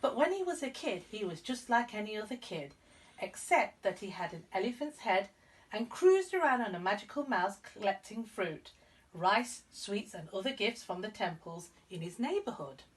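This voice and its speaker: A woman narrating a children's story expressively as a storyteller, with clear emphasis for young listeners. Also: mid-range voice, big pitch swings, moderate pace, distant mic, poor audio. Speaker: storyteller, school reader.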